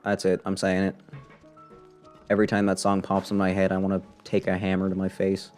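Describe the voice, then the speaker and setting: A man's voice: sits low, monotone, a little fast, darkish tone. A man giving casual, slangy live commentary as a game streamer, wry and rambling. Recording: voice over faint game music.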